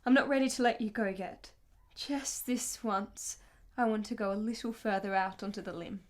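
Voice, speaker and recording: A woman's voice: medium pitch, fairly even intonation, moderate pace, lightly acted. A woman playing an anguished, tender married lover in a radio play, speaking with earnest emotion. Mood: earnest, wistful. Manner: emotional, earnest, dramatic delivery.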